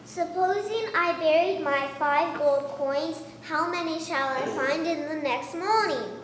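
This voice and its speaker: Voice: high voice, measured pace, fairly bright tone. A young child playing a headstrong, gullible puppet boy, reciting eagerly in a puppet show. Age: child, first grader. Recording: echoey hall, faint noise.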